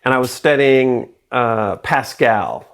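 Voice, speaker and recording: A man's voice: mid-range voice, slow, a bit bright. A man in his forties, a guest in a casual interview, talking in a rambling, self-deprecating way and laughing often. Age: 40s.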